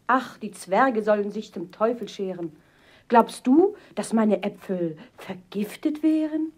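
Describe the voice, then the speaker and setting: A woman playing a wicked, scheming queen disguised as a peasant woman in a fairy-tale audio play, coaxing and wheedling in a husky voice. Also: mid-range voice, lively intonation, measured pace, a little mellow.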